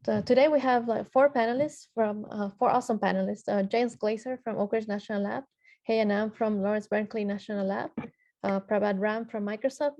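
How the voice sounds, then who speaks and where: A woman's voice: medium pitch, vocal fry, mellow. A woman from Ecuador moderating a technical panel, reading out introductions with frequent filler sounds and restarts.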